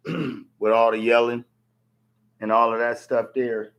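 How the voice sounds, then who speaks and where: A man with a hoarse voice from yelling, ranting bluntly to camera about a boxing match and swearing freely. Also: mid-range voice, flat delivery, slow, lo-fi sound. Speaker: vlogger reacting to a boxing match. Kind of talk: casual rant to camera.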